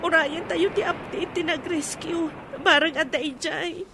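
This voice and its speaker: A woman's voice: very high voice, steady tone, a little slow, gravelly, pushed and bright, a little hiss or hum, exaggerated voice. A woman with an Ilocano accent playing an earnest, worried young woman explaining grave matters to her elders in a radio drama. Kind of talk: Filipino radio drama acting.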